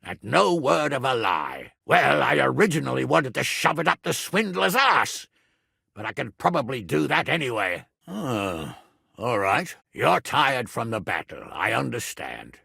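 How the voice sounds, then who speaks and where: An actor voicing a gruff, hot-tempered but loyal middle-aged medieval nobleman in a video game, with a rough, gravelly voice and a blunt, lordly, emphatic manner. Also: mid-range voice, big pitch swings, unhurried, bright, forceful tone, no room sound, clean studio sound, exaggerated voice. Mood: gruff, subdued. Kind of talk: dramatic video game voice acting.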